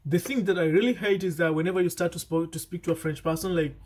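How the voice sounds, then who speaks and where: A man's voice: on the high side, steady tone, fast, a little mellow. Earnest young man giving vlog advice. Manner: earnest, talks in restarts and asides. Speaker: vlogger. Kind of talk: casual vlog advice to camera.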